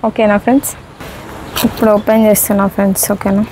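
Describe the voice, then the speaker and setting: A woman's voice: medium pitch, level tone, fast, mellow, a little hiss or hum, poor audio. Telangana Telugu woman casually demonstrating products. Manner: repeats checking tag questions to viewers. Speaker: host of unboxing video.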